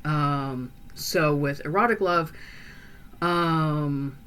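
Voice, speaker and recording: A woman playing a chatty, bright-voiced young vampire. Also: sits low, drawn-out words, clear, resonant tone, full character voice. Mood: musing. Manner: rambling and hesitant, full of fillers and restarts. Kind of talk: in-character roleplay monologue.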